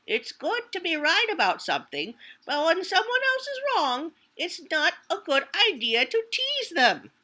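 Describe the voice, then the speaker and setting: Storybook: woman doing a wise, high, gentle old grandmother squirrel. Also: huge pitch swings, unhurried, few pauses, full character voice. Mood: advising. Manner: gentle, urgent calls.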